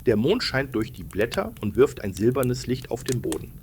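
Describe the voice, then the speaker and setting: A man's voice: medium pitch, sing-song, normal speed, a little hiss or hum. A man narrating a scripted bedtime story for children, explaining things and addressing them directly.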